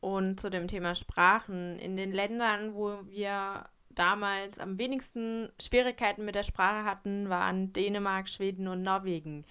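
A woman speaking in a casual group talk, measured and articulate. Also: mid-range voice, steady tone, fairly bright tone, smooth. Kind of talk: casual chat.

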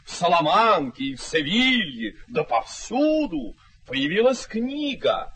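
An actor in a radio play voicing a playful, pompous duke with a grand, courtly, excitable manner. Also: male voice, sits high, slow, husky, exaggerated voice. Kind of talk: theatrical radio drama acting.

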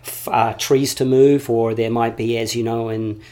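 A man's voice: medium pitch, flat delivery, unhurried, a little mellow. Earnest man talking in circles. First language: English. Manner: earnest, repeats phrases while building a point. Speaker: guest. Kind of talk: reflective conversational interview.

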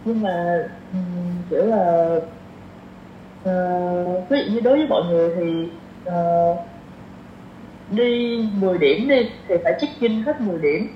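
A woman's voice: lowish voice, measured pace. A woman in her twenties with a southern Vietnamese accent, chatting informally as a podcast guest and mixing in English slang. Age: 20s. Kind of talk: casual conversational interview. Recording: roomy, dull sound with faint noise.

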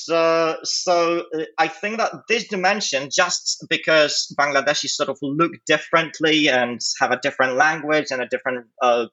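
Bright, forceful man, hesitant phrasing. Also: on the high side, lo-fi sound. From Poland. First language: Polish. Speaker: interview guest. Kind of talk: conversational analysis in an interview.

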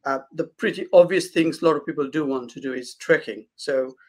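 A man's voice: fairly high pitch, a little fast. A man from Nepal, a guest consultant, answering interview questions hesitantly with many fillers and restarts.

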